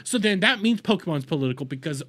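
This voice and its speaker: A man's voice: on the high side, animated, fast, a bit bright. Angry man ranting with profanity. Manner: heated, profane, repetitive ranting. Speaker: reaction video host. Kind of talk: angry commentary rant.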